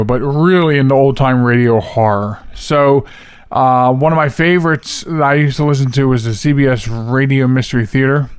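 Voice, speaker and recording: A man's voice: mid-range voice, clean tone, no echo, studio quality. A man hosting a podcast, talking casually and rambling a little as he introduces the show. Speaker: podcast host.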